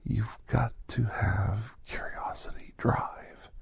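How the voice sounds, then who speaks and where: A man voicing a kindly, reflective older doctor in soft, half-whispered ASMR storytelling, with breathy, halting short phrases. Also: fairly low pitch, steady tone, no echo, exaggerated voice. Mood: hushed, reflective. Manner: unhurried, pausing between short phrases. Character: older doctor recounting his gentle alien encounters, reflective, kindly.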